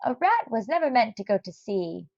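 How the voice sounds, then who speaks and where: A woman's voice: on the high side, very animated, moderate pace, creaky, darkish tone, no echo, dull audio, heavily acted. A woman voicing a fussy, disapproving female animal neighbour in a storybook, scolding and emphatic. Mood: scolding warning.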